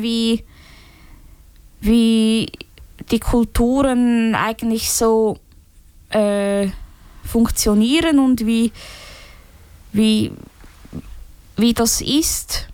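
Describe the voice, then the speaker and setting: A young woman speaking in a group, slow and halting with many fillers and restarts. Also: fairly high pitch, steady tone, a little mellow, clear, resonant tone, no echo. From Switzerland. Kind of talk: unscripted personal talk.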